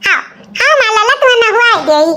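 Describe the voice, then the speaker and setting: A man's voice: very high-pitched, expressive, a little fast, pushed and bright, exaggerated voice. A man voicing a vain, jealous young village woman in a comic cartoon, with an Awadhi accent and a nagging, taunting manner. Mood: bossy, exasperated. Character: vain, jealous, scolding young village sister-in-law, a mother.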